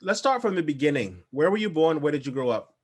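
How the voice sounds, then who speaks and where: A man hosts an interview, putting questions to his guest. He speaks quickly, with a lot of movement in his pitch. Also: big pitch swings. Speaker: interviewer, host.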